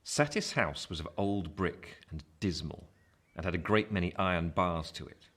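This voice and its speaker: A man's voice: low-pitched, normal speed, fairly bright tone. A man narrating a radio play as a grown man recalling his boyhood, in a scripted literary style.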